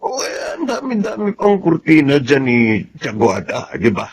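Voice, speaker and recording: A man's voice: a little high, wildly swooping pitch, normal speed, breathy edge, full character voice. An actor playing a humble, easygoing male rice farmer in a Filipino radio drama, speaking with a Filipino accent. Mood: easygoing, teasing. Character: humble, good-natured countryman Andres, easygoing rice farmer.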